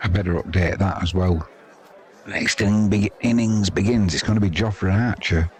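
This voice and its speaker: A man's voice: deep voice, moderate pace, darkish tone. A man hosting live sports commentary, chatting informally and matily with viewers in a rambling way. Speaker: live sports stream host and cricket commentator. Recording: crowd noise behind the voice.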